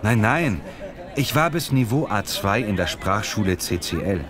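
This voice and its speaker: A man's voice: on the low side, animated, normal speed, crowd noise, natural voice. A man playing a lazy, muscle-proud Italian language learner in a scripted lesson dialogue, speaking in simple, complaining phrases. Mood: annoyed.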